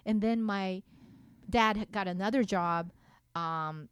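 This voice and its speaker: A woman's voice: medium pitch, takes it slowly, clear, resonant tone, no echo, clean studio sound. A young woman whose first language is Japanese, a podcast guest telling stories in a playful, chatty way with many fillers and restarts. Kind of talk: casual interview chat.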